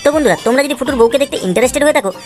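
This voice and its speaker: A woman's voice: high voice, animated, racing. A woman voicing an exasperated, foul-mouthed, sly father in a comic cartoon, grumbling and wheedling. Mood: wry. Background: music.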